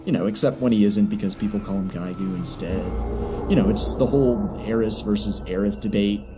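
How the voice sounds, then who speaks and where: A man's voice: fairly low pitch, a little fast. A man giving sarcastic, exasperated commentary as he narrates and critiques a story. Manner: sardonic, exasperated. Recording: music behind the voice.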